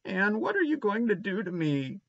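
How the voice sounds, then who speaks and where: A man's voice: mid-range voice, big pitch swings, unhurried, a bit bright, no echo, dull audio, pitched above the performer's own voice, exaggerated voice. A man voicing a guilty, desperate little boy in a storybook, with childish, ungrammatical country speech. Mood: miserable, pleading. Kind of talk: storybook character reading.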